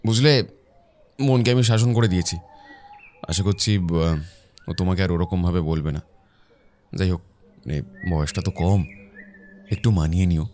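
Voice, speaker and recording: A man's voice: low-pitched, unhurried, pauses often, a little mellow, slight background noise. A man playing a weary, easily swayed young husband in a village cartoon, grumbling and a little put-upon. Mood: placating. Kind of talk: animated cartoon moral-story acting.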